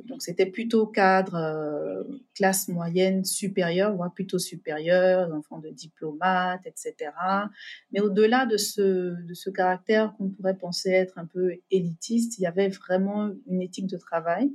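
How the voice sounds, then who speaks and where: Woman, low voice, husky, hesitant, remote call. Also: a little mellow. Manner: hesitant, many fillers and restarts. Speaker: podcast guest, entrepreneur. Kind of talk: casual remote interview.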